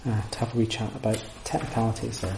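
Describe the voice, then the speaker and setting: A man's voice: on the low side, little pitch movement, fast, vocal fry, echoey room, background noise. A man giving an informal lecture and answering audience questions, thoughtful and full of restarts. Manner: thoughtful, many restarts and hedging fillers. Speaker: lecturer on Sanskrit texts. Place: room with audience.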